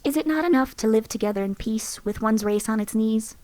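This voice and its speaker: A woman acting out a wry, philosophical companion from a game, higher-pitched and calm. The recording is dry and close, with no room sound. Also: fairly quick, dry recording, natural voice. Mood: wry musing. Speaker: voice actress for a game companion mod.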